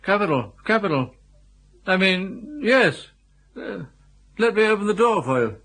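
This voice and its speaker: An actor playing a vague, forgetful elderly upper-class English lord in a radio comedy, hesitant and muddled, often repeating himself. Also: male voice, sits high, big pitch swings, unhurried, sharp tone, heavily acted. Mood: vague, flustered. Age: older. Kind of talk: BBC radio comedy drama acting.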